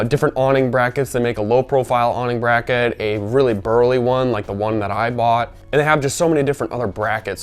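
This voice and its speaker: A man talks through a casual gear review while sick, his voice gravelly and worn. Under the roughness it stays fairly smooth and resonant, and he rambles along with plenty of fillers. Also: medium pitch. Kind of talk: casual product review vlog.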